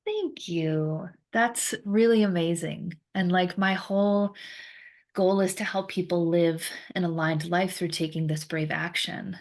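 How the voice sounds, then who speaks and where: A woman's voice: lowish voice, measured pace. A woman life coach interviewing a client, encouraging and full of praise.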